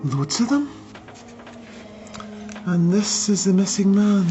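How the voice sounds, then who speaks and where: A caring, inquisitive woman in a radio drama. Her pitch is average. Music plays clearly behind her and the sound is a little dull. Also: big pitch swings, slow, mellow. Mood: curious. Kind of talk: naturalistic radio drama acting.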